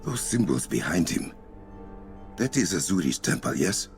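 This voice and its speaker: A man's voice: sits low, very animated, moderate pace, vocal fry, soft background music, exaggerated voice. An actor playing a resolute, protective young warrior king in a video game, with an African accent and a formal, commanding manner. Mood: grave, measured. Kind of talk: video game cutscene acting.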